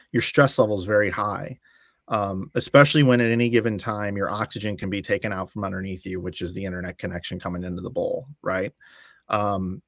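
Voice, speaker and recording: A man's voice: lowish voice, no echo, clean studio sound. A middle-aged man, a guest bowling commentator on a video call from home, telling long stories and acting out quoted dialogue as he goes. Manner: storytelling, acting out quoted dialogue, restarts phrases. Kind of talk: casual podcast chat. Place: room at home.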